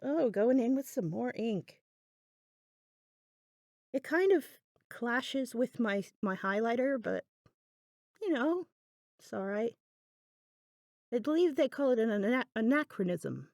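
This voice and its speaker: A woman's voice: on the high side, expressive, a little slow, pauses often, a little mellow, no echo, studio quality. A woman hosting a craft video in a casual, unscripted voiceover, musing aloud in a chatty, self-deprecating way. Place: indoors, voice-over recorded apart from the video.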